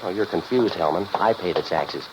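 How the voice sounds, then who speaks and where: An American actor playing a sardonic, hard-boiled private eye who narrates his case deadpan in 1940s radio noir style. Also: deep voice, normal speed, close to an everyday voice. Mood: dry. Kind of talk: 1940s radio noir acting, scripted first-person narration. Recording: muffled, noisy 1940s radio recording.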